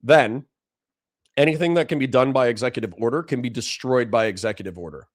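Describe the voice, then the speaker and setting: A man with a dry voice giving blunt, profane political commentary to a livestream chat. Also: medium pitch. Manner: blunt, profane, fast restarts.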